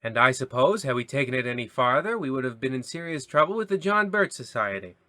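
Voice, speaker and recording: An actor playing a wry, disdainful radio anthology narrator with a deadpan, mock-solemn sign-off style. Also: male voice, medium pitch, fast talker, no echo.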